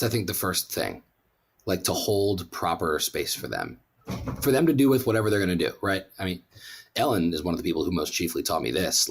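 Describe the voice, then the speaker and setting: A low male voice in a conversational interview. He speaks as a rabbi and prayer leader, earnest and reflective, often pausing and circling back to rephrase.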